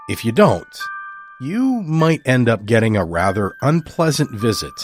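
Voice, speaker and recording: A man speaking as a podcast host, giving a measured, sincere scripted introduction to listeners. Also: on the low side. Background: music.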